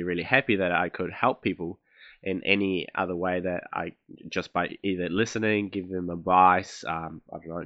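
A man's voice: deep voice, no room sound, muffled recording. A young man from Chile hosting his own podcast, talking in a rambling, hesitant, self-deprecating way, with an accent that blends American and Australian. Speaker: podcast host. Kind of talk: casual solo podcast monologue.

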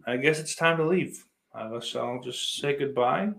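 A man's voice: medium pitch, normal speed, creaky, fairly bright tone, a little hiss or hum. A man livestreaming in casual chat, rambling and swearing freely while reading out messages from his viewers. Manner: profane, rambling, self-deprecating. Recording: roomy echo.

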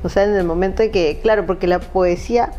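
A woman with a lowish, mellow voice talks reflectively, leaning on fillers and tag questions as she goes. Faint music sits in the background. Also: steady tone, a little fast, dull audio. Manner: reflective, filler "este" and frequent "¿no?". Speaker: guest poet. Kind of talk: casual conversation.

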